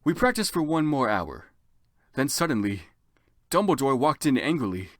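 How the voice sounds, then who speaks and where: A man's voice: medium pitch, very animated, moderate pace, dry recording, studio quality. A man narrating a story theatrically for comedy, with sardonic asides as commentator. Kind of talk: dramatic comic reading with dry asides.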